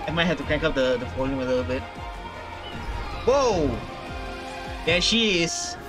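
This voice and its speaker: A man's voice: fairly high pitch, normal speed. A man, perhaps in his thirties, giving casual, exclamatory reaction commentary as a gaming video host. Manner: excitable, exclamatory. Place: small indoor room. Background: game trailer music.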